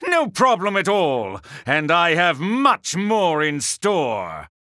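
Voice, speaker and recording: A man's voice: fairly high pitch, huge pitch swings, takes it slowly, sharp tone, dry recording, studio quality, exaggerated voice. A male actor voicing a sneering, theatrical villainous tactician in video game battle lines, haughty and mocking, with a drawling delivery. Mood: gloating.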